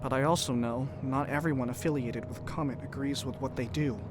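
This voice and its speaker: An actor playing an earnest, compassionate doctor in a science-fiction audio drama. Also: male voice, medium pitch, fairly even intonation, brisk, music in the background, natural voice. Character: earnest grieving male doctor, cautious and compassionate sci-fi traveller.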